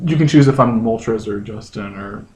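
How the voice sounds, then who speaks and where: A man's voice: fairly low pitch, normal speed. A man co-hosting a comedy podcast, chatting casually and hesitantly in a joking way. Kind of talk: casual chat.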